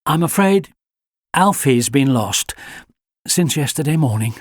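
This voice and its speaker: A man's voice: medium pitch, animated, a little slow, dry recording, studio quality. A man voicing an anxious mother breaking bad news. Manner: hesitant, careful. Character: anxious mother, adult woman.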